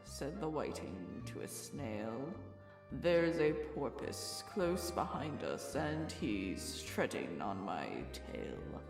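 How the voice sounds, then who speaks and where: An actor playing a mournful, solemn turtle creature in an audio drama, speaking in a slow, drawn-out way. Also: mid-range voice, very animated. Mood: slow, solemn, sad. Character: sorrowful old Mock Turtle, slow, sighing and solemn. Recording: roomy, distant mic, music underneath.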